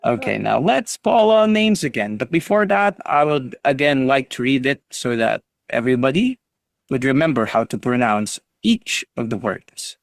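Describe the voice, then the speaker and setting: A man's voice: medium pitch, big pitch swings, normal speed, creaky, dry recording, studio quality. A man teaching an online English class over a video call, patiently drilling students on pronunciation and encouraging them.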